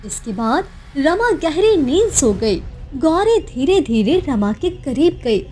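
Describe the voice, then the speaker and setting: A woman narrating a scripted animated moral story. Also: high-pitched, slow pace, slight background noise, full character voice.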